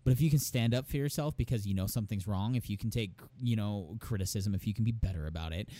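A man's voice: on the low side, quick pace, mellow, no room sound. A young man with a phlegmy, strained voice, telling a heated, profane story about a bad manager in casual chat. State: phlegmy, losing his voice.

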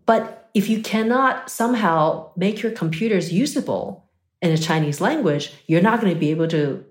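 A woman from Taiwan speaks as an expert guest in an interview, with a notably deep voice for a woman. She explains ideas in a thoughtful, academic way and often checks in with "right?" and "you know". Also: animated, normal speed. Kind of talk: interview answers.

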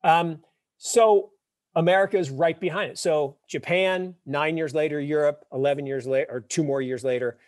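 A man in his fifties, a podcast guest, telling wry stories and explaining ideas with emphatic, animated delivery. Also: fairly high pitch, moderate pace, no room sound. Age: 50s. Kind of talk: casual interview talk.

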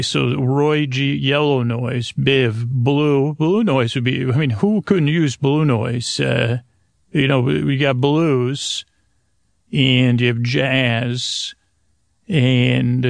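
Male sleep-podcast host, gravelly and halting, wandering through whimsical tangents in a dry, close recording. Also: mid-range voice, no echo. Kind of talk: rambling, improvised bedtime podcast monologue.

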